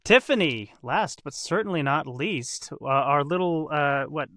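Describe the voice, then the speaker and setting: A man hosting a podcast, interviewing casually with an excitable, gushing manner. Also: on the high side, unhurried, no echo, clean studio sound. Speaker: podcast host.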